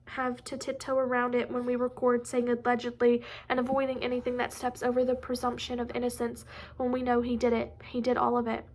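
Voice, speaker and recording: A woman's voice: a little high, completely flat delivery, brisk, lightly acted. A woman reading as an earnest teenage girl. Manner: earnest, sighing.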